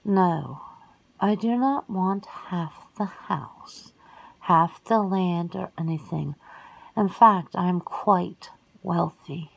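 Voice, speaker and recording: A woman voicing a centuries-old vampire sister in an ASMR roleplay, cool and worldly, measured and often slipping into whispers. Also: lowish voice, highs cut off, heavily acted. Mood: cool, weary. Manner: measured, breathy, dropping into whispers.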